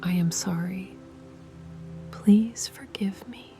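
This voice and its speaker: A woman whispering soft, soothing guided meditation instructions. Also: medium pitch, takes it slowly. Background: music.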